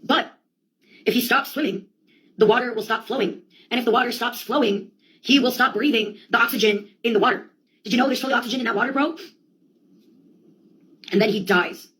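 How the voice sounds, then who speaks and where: A woman's voice: a little high, fairly quick, pauses often, a bit bright, poor audio. A woman telling a rambling comic monologue in a theatrical, slangy, sweary way. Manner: sweary, theatrical, slangy delivery with quoted outbursts.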